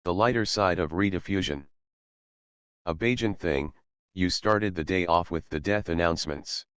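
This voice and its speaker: A man's voice: low voice, normal speed, fairly bright tone, clear, resonant tone, no room sound. A man reading a scripted narration about local history as a narrator. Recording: dry but dull, lo-fi sound.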